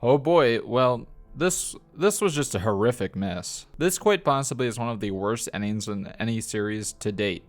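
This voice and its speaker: A man's voice: mid-range voice, lively intonation, moderate pace, few pauses. A man delivering a scripted video review as a narrator, with a blunt, critical way of talking. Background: background music.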